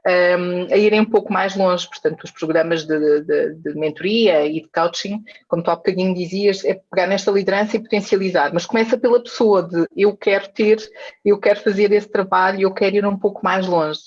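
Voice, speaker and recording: A woman's voice: mid-range voice, fairly even intonation, a little fast, darkish tone, dull audio. A woman from Angola, a guest in a casual conversation, speaking with hesitations and repeated words as she thinks. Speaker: guest, founder of a women's leadership organization.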